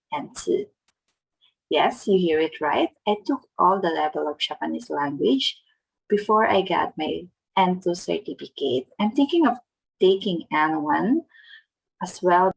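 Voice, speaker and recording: A woman's voice: fairly low pitch, gravelly, breathy edge, poor audio. A young adult woman from the Philippines with a Filipino accent, earnestly explaining study tips in a casual vlog. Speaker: vlogger teaching Japanese test tips.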